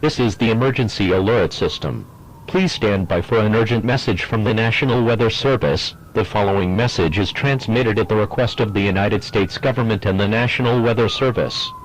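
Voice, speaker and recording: A stern, official emergency broadcast announcer played by a man with a fairly low voice. He reads a formal scripted alert in a measured, impersonal way, giving each phrase the same grave weight. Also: a little hiss or hum, close to an everyday voice, poor audio.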